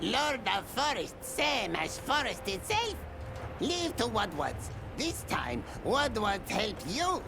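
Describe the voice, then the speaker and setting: Gravelly, halting forest creature. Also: medium pitch, sing-song, noisy background, heavily acted. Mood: solemn, resolute. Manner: halting, clipped broken phrases. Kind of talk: video game character acting. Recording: music behind the voice.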